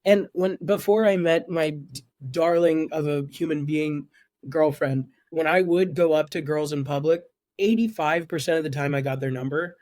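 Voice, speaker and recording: A young man in his twenties with a high voice chats as a podcast guest. He often stumbles and repeats words before getting a thought out. His voice is recorded dry and close. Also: normal speed, a little mellow, no echo. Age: 20s. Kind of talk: casual podcast chat.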